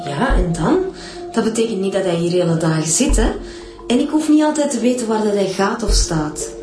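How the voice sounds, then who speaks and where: A woman's voice: medium pitch, animated, a little fast, music in the background, natural voice. Woman doing a defensive flemish lady, short curt answers, echoey room. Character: defensive woman questioned by police. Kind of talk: radio drama acting.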